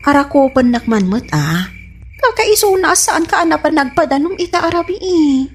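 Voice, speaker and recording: An actress with an Ilocano accent playing a nagging, needy, affectionate village wife in a radio comedy drama. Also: female voice, sits high, very animated, moderate pace, soft background music. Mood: pleading, pouty.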